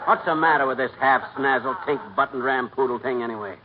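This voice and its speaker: A man's voice: mid-range voice, fairly quick, heavy background noise, natural voice. An American man acting a blustering, boastful, hard-luck husband who grumbles in slang, in the style of 1940s radio comedy. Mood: exasperated. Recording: muffled old 1940s radio broadcast.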